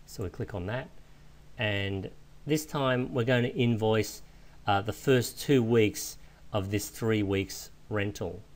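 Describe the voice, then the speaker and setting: Low-voiced man narrating a software tutorial. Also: slow. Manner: explanatory, informal, step-by-step. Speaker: software instructor. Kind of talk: step-by-step screencast tutorial narration.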